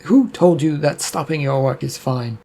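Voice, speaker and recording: A man voicing a cruel clawed overseer barking slow, harsh commands at child workers. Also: on the high side, vocal fry, warm tone.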